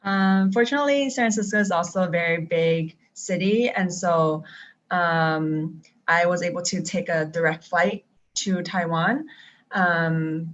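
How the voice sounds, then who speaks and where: Young woman on a lo-fi video call. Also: on the low side. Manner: casual, many ums and restarts. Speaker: traveling teacher and guest. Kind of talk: live-stream video chat. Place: home in Taiwan. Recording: dull lo-fi video call audio.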